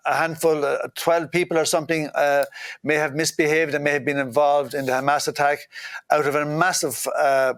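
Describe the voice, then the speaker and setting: Man, guest politician, indignant, blunt, hesitant fillers, high-ish voice, dry sound. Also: fairly bright tone, no room sound. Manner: blunt, indignant, many "uh" fillers, mocking quotes in a higher voice. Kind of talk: impassioned interview answers.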